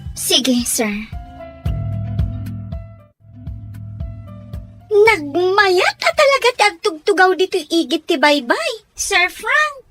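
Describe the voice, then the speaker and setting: A woman acts out a young housemaid in an Ilocano radio drama, her voice very high and girlish. She is shy and deferential, speaking to her employers with timid respect. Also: very animated, measured pace, a bit bright, faint music behind, full character voice. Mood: timid, hesitant.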